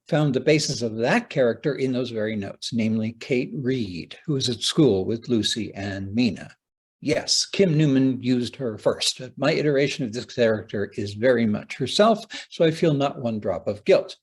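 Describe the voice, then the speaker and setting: A man delivers a prepared lecture in a husky voice. His pitch moves widely as he speaks, with dramatic, deliberate phrasing and wry, opinionated asides. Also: darkish tone. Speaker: playwright giving a talk on adaptation.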